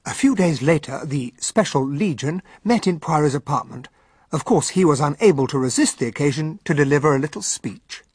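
Radio drama companion: earnest English gentleman, faithful and a bit baffled, breathy-rough, close dry sound. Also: fairly high pitch, animated, brisk, dry recording. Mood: wry narrating. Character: loyal English gentleman sidekick, hesitant, earnest, puzzled. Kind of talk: radio drama acting and narration.

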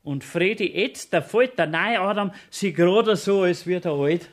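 An actor playing a sly, nosy court clerk in a Bavarian dialect radio play, probing and insinuating with mock concern. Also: male voice, sits high, normal speed, lightly acted.

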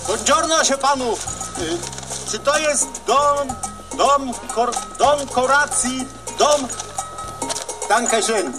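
An actress playing a muddled visitor, halting and stumbling over her words in a comic audio sketch. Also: high-pitched, animated, music in the background, exaggerated voice. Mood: puzzled.

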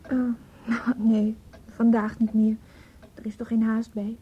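An actress playing a cautious, unhurried woman in a Dutch radio play, speaking in a mild, hesitant manner. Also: fairly high pitch, completely flat delivery, breathy edge. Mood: thoughtful, hesitant. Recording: dull muffled radio play recording.

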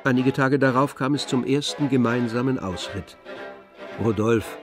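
A man narrating a literary radio drama from a script, measured and composed. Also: mid-range voice, over music.

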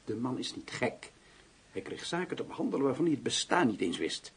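An actor playing a cautious, testy, self-justifying official of about sixty in a radio drama, formal, with a husky voice. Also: male voice, mid-range voice, big pitch swings, brisk, heavily acted. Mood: defensive. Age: 60s. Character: aggrieved, cautious sixty-year-old male official nearing retirement. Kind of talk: Dutch radio drama acting.

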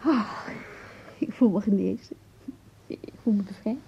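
An actress playing a tender, contented lover in a Dutch radio drama, intimate and playful, on a muffled recording. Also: female voice, medium pitch, unhurried, creaky. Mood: blissful. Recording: muffled, slightly noisy radio play recording.

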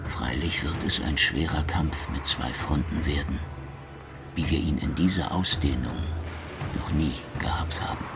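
An actor playing a sober, dutiful German officer, reading letters in a measured, grave manner in a historical radio drama. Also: male voice, extremely low pitch, over music. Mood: grave, resolute. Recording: clear background noise.

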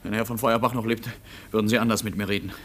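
Sullen, defiant young man, quick. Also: lowish voice, steady tone, a bit bright. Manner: curt, sulky. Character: sullen, defiant young male foundling under pressure. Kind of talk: German radio play acting.

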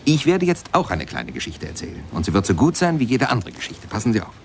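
An actor playing a reflective former steamboat pianist who tells his memories in measured, lyrical radio drama narration. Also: medium pitch, big pitch swings, a little hiss or hum. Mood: wistful storytelling. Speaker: narrator and protagonist of a radio play.

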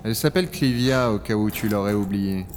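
A man's voice: lowish voice, a little fast, background noise, close to an everyday voice. A man playing a sceptical dragon hunter who also narrates in a science-fiction audio drama, blunt, sardonic and colloquial. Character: Owen, gruff, sceptical male dragon hunter. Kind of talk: French audio drama acting and first-person narration.

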